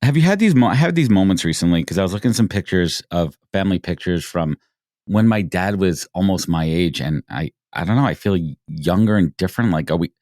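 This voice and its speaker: A man in his forties hosting a podcast, asking questions in an animated way and often repeating words as he starts. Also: sits low, a little fast, no echo, clean studio sound. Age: 40s. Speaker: podcast host. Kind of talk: conversational interview hosting.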